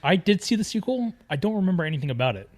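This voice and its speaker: A man with a fairly high, animated voice chats as a podcast co-host. His pitch moves around a lot as he trades casual, teasing remarks. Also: racing. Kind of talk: casual chat.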